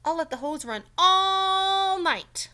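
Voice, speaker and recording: A woman's voice: very high-pitched, lively intonation, takes it slowly, pushed and bright, voice pushed up, full character voice. A woman voicing an anxious, earnest little boy in a children's storybook, speaking hesitantly. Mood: eager.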